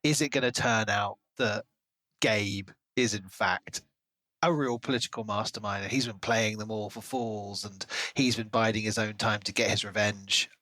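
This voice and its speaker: A man's voice: on the low side, steady tone, moderate pace, pushed and bright, dry recording, poor audio. A man co-hosting a podcast, discussing a book casually in an analytical, speculative and wry way. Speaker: podcast co-host.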